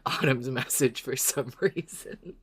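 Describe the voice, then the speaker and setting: A woman's voice: extremely low pitch, measured pace, breathy edge. A woman co-hosting a podcast in casual, informal chat. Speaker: podcast co-host.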